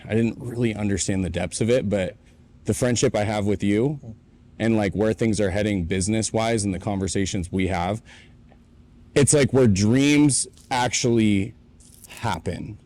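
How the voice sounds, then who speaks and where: A man's voice: on the low side, moderate pace, darkish tone. A man in his late twenties, co-hosting a podcast in a warehouse, telling his own story in an earnest, reflective way. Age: 20s. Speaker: podcast co-host. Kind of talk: casual chat.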